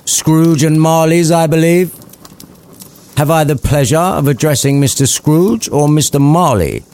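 A man doing a polite, formal charity gentleman. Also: a little high, smooth, pitched above the performer's own voice. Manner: formal, measured.

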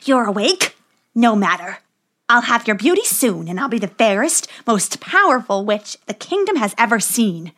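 A woman's voice: a little high, sing-song, normal speed, fairly bright tone. Woman voicing a gloating wicked storybook witch. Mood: imperious, gloating. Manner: gloating, commanding. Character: wicked witch, vain, menacing, power-hungry, posing as sweet old woman. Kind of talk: fairy tale storytelling.

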